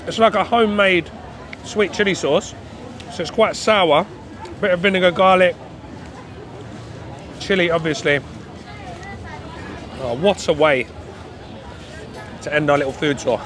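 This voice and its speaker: Chatty food vlogger, a man in his thirties, slightly high voice, talking to camera outdoors with faint street noise around. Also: unhurried, long pauses, fairly bright tone, some chatter behind. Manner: chatty, enthusiastic, addresses viewers as guys. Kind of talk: casual street food vlog. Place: outdoor night market. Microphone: handheld camera at arm's length.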